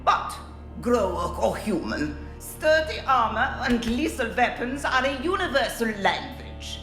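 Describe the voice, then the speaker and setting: A woman's voice: on the high side, very animated, unhurried, fairly bright tone, echoey room, soft background music. A woman playing a brash, scheming nonhuman runesmith in a video game, boastful and scornful, speaking of herself in the third person. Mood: sly, scheming. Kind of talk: dramatic video game acting.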